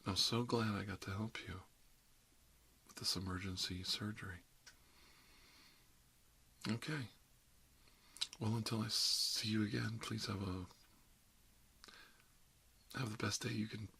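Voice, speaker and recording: A man's voice: lowish voice, steady tone, unhurried, gravelly, pauses often, sharp tone, slightly rough, exaggerated voice. A man playing a chatty, friendly dentist in an improvised ASMR roleplay, talking in a hesitant, rambling way that drops into half-whispers. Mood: cheerful, polite. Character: chatty, friendly emergency dentist treating monsters, mildly bigoted. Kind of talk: ASMR roleplay, improvised one-sided conversation.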